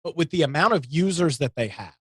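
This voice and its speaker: A man debates casually on a panel in a rather high, bright voice with a rough husky edge. He keeps restarting as he presses his point. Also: expressive, brisk, no echo, studio quality. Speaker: talk show panelist.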